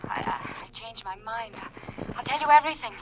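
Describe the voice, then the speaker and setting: A scared, secretive wife acted by a woman from a vintage radio crime drama. Her voice is very high, urgent and halting, heard through a muffled, noisy old recording. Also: close to an everyday voice. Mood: anxious. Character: frightened, secretive wife pleading for help. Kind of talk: 1940s-50s radio drama acting.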